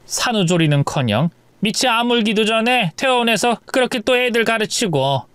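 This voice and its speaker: A man's voice: high-pitched, animated, normal speed, a bit bright, clean tone, no echo, voice pushed up. A man voicing a harsh scolding mother-in-law. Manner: nagging, contemptuous.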